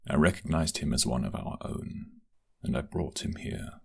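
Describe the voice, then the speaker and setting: Man playing a very deep, gravelly loyal guard. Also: moderate pace, exaggerated voice. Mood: respectful, earnest. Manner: deferential, earnest, formal. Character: earnest, respectful, loyal male guard of a crime syndicate. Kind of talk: audio drama roleplay acting.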